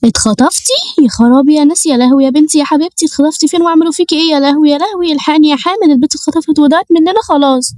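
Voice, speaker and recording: A woman's voice: sits high, racing, hardly any pauses, darkish tone, no echo, voice pushed up, close to an everyday voice. Woman doing a frantic wailing Egyptian mother.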